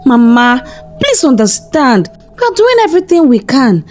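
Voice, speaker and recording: A woman voicing an anguished, vulnerable young wife in a folktale, pleading and halting. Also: sits high, very animated, a little mellow, faint music behind.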